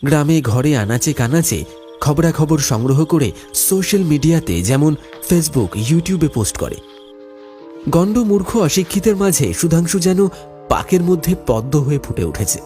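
Man, higher-than-average pitch, dramatic horror narration, suspenseful, theatrical, music behind.

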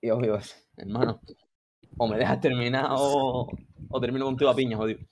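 A man's voice: mid-range voice, steady tone, a little fast, a little mellow, slight background noise. Boastful young Canarian man, slangy and rambling. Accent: Canarian Spanish. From Canary Islands, Spain. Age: young adult. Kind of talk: casual chat, comic storytelling. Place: indoor room, video call.

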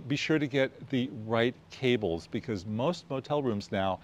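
American guy in his 60s hosting a travel tips video, mid pitched voice, punches each point in a list. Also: measured pace. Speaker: host of a travel photography video show. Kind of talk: presenting gear tips to camera. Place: outdoors on a quiet residential street.

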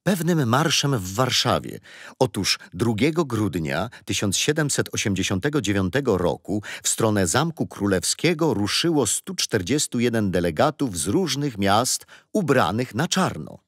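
A man's voice: lowish voice, big pitch swings, moderate pace, slightly rough, dry recording, studio quality. An actor playing a kindly older grandfather who patiently tells stories and history in a children's radio play. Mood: patient, explaining. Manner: patient, playful teasing. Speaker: storyteller grandfather.

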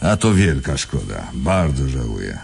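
An actor playing a scheming imprisoned crime boss in a radio drama, mock-polite and domineering. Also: male voice, extremely low pitch, very animated, unhurried, vocal fry, a little mellow, slight background noise, exaggerated voice. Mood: falsely courteous. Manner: mock-polite, turning bossy and impatient.

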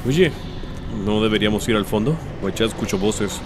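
A man with a Latin American Spanish accent voicing a hot-tempered but loyal robot soldier in an animated fan dub, dramatic and slangy. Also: on the low side, normal speed, close to an everyday voice. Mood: uneasy, subdued. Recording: music over the voice.

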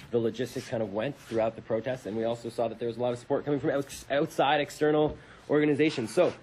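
A man's voice: on the high side, darkish tone, a little hiss or hum, poor audio. A young adult man from Canada speaking as a radio show host in a studio. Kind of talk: casual radio talk and dramatic reading.

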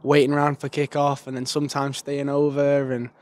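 A man's voice: mid-range voice, monotone, fairly quick. A young man giving a casual, earnest post-match interview outdoors in a stadium stand into a handheld mic. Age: young adult. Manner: casual, plain-spoken, earnest. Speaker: footballer.

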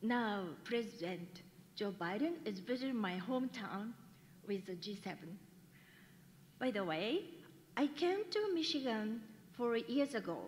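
A woman's voice: fairly high pitch, very animated. A middle-aged woman from Japan with a Japanese accent, giving a careful, hesitant prepared speech at a podium. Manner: careful, measured delivery with hesitations. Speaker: graduating student. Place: large school hall. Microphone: podium mic. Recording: long hall reverb.

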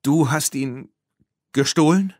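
A man's voice: fairly high pitch, slow pace, darkish tone, no echo, studio quality, voice pushed up. A man voicing an outspoken, indignant girl in a storybook, with an emphatic, accusing manner. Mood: angry, accusing. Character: indignant, outspoken girl who loves her horse.

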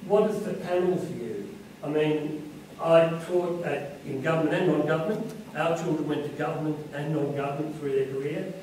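A man, a former teacher in the audience, asking the panel a question in a loud, projecting voice. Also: fairly high pitch, moderate pace. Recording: echoey hall, mic far off, noisy.

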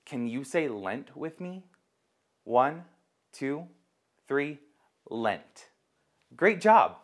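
Young man teaching children, mid-pitched voice. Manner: simple, encouraging, asks listeners questions. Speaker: children's ministry host and teacher. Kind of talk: kids sermon to camera.

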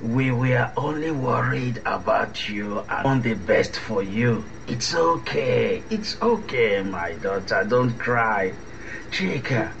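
An actor playing a fatherly, middle-aged Nigerian uncle in a radio drama, soothing and measured, with a Nigerian accent. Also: mid-range voice, creaky, fairly bright tone, heavily acted. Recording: dull, faintly noisy recording.

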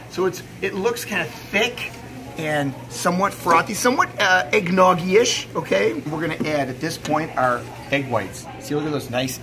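A middle-aged man giving a casual cooking demonstration, chatty and emphatic, often checking in with the viewer. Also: high voice. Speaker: chef presenting a recipe video. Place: indoor commercial kitchen or sandwich shop. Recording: clear background noise in working kitchen.

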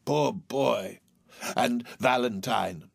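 A man voicing an excitable, sentimental old miser in a storybook, exclaiming and stammering between laughter and tears. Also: mid-range voice, very slow, gravelly, dry recording, exaggerated voice. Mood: pitying, regretful. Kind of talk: dramatised storybook reading.

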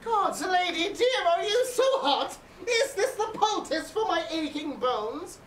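Woman playing a high, swooping fussy old nurse. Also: sing-song, unhurried, heavily acted. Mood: teasing. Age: older. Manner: rambling, exclaiming, theatrical groaning and chuckling. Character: bawdy, fussy old nurse, weary and teasing, fond of her charge. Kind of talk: Shakespearean stage acting. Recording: echoey room, distant mic, faint noise.

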